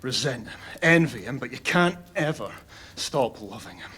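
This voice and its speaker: An actor playing a troubled, stubborn young knight in an audio drama, speaking haltingly and with strain. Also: male voice, mid-range voice, very animated, sharp tone, breathy edge, heavily acted. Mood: wistful, grieving.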